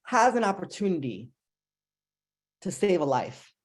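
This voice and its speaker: Fast-talking young New Jersey woman. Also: on the low side, very animated. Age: young adult. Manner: fast-talking, emphatic, pleading. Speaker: symposium panelist. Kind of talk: impassioned speech.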